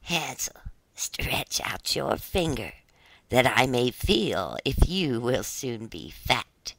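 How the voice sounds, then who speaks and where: A reader voicing a wicked old witch who eats children, speaking slowly and sometimes in a whisper. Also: medium pitch, sing-song, fairly bright tone, no echo, exaggerated voice, low-quality recording. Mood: menacing. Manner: slow, drawn-out, sometimes whispered.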